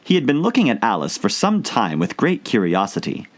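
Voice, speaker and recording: A man narrates a classic storybook in a mid-pitched voice. His delivery is highly animated, with big swings in intonation suited to an audiobook. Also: a little fast. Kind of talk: expressive scripted storybook narration.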